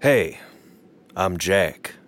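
A man's voice: deep voice, lively intonation, extremely slow, a bit bright, exaggerated voice. A man playing a brooding, condescending cowboy in an audio drama, with an American accent and a dismissive drawl. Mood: cool, laconic.

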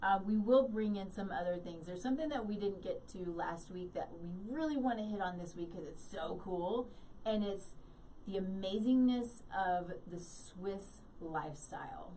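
A woman's voice: medium pitch, normal speed, mellow. A woman hosting a live show, bubbly and laughing, rambling with fillers as she introduces her guests. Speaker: host. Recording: distant mic, faint noise.

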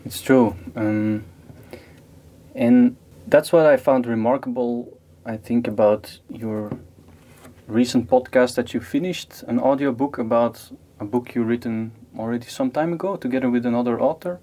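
A man's voice: medium pitch, normal speed, dark tone. A man hosting a podcast interview, asking his questions in a conversational way with restarts and fillers. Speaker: podcast host.

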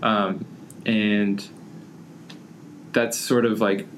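A young man in easy conversation, circling his thoughts and peppering nearly every phrase with "like." His voice sits fairly low. A faint background hiss stays under the talk. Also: little pitch movement, extremely slow, low-quality recording. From United States. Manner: rambling, heavy use of "like" fillers. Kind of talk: casual conversation.

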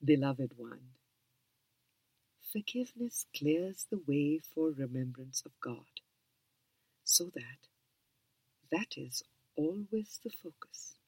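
A woman reads in a hushed, calm whisper with a low, breathy voice. She takes her time, letting each phrase settle slowly. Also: no echo, rough recording. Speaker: reader. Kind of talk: soft whispered reading of a spiritual book.

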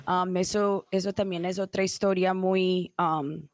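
A woman hosting an interview, asking curious questions with many fillers and quick backchannels. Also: fairly low pitch, fairly even intonation, no room sound. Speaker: interview host.

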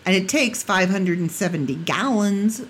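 A woman hosts her podcast alone, chatty and jokey, with a lively pitch that bounces up and down. Her voice has gone a little hoarse, and a faint hiss sits underneath. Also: moderate pace. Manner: chatty, jokey, laughs at own asides. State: getting hoarse. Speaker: podcast host. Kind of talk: casual solo podcast chat.

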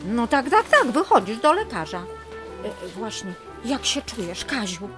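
A woman's voice: on the high side, sing-song, a little slow. A woman playing a fussy, overprotective aunt of fifty in a radio comedy, fretting and coddling as she chatters, with music playing behind her. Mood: worried. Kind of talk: radio comedy drama acting.

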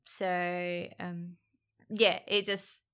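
A woman talking casually as a podcast guest, soft and reflective, trailing off with fillers. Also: on the low side, flat delivery, drawn-out words, clean tone. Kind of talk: casual interview chat.

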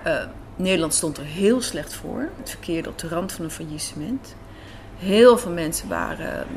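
A woman speaking reflectively in an interview in a café, thoughtful and searching for words. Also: on the low side. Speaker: radio drama writer and director, interviewee. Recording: faint café background noise.

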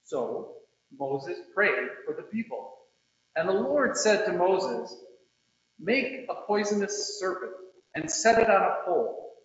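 In a very echoey room, a man with a rather high voice reads scripture aloud at a church service. His delivery is solemn and measured, taking its time over each phrase. The recording is a little dull. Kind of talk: solemn scripture reading with short introductions. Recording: very echoey room, slightly muffled.

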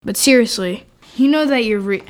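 A female performer playing a frank, curious twelve-year-old kid in a naturalistic comedy radio play, gently teasing and deadpan. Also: a little high, normal speed, lightly acted.

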